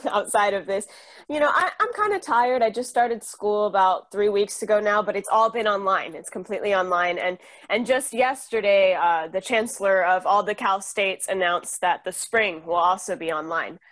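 A young woman instructor on a video call from her home room, encouraging and quick to laugh. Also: mid-range voice, fairly bright tone. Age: young adult. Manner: upbeat, encouraging, laughs often. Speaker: vocal instructor. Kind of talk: casual video-call chat. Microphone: headphones, laptop camera.

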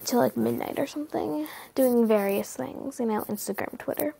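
A teenage girl vlogging from her bedroom at night, rambling casually with lots of fillers, often hushed, her nose stuffy from a cold. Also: fairly high pitch, normal speed, vocal fry, husky. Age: teens. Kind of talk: casual late-night chat to camera. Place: bedroom at night, sitting on bed.

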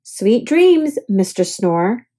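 A woman's voice: medium pitch, very animated, extremely slow, warm tone, breathy edge, exaggerated voice. A woman voicing a polite, helpful male bellhop in a storybook, courteous and apologetic. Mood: polite, soothing. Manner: courteous, solicitous.

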